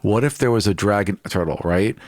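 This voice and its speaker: A man co-hosting a podcast, chatting casually about tabletop gaming and musing out loud. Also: fairly low pitch, fairly quick, dry recording.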